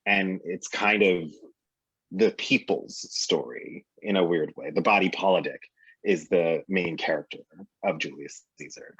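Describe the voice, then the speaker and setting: A man with a very low voice and a slightly husky edge takes part in a panel discussion. He analyses with emphatic repetition, one parallel phrase after another. The sound is dry and somewhat muffled. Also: unhurried, a bit bright, dry recording. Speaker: panel guest, actor.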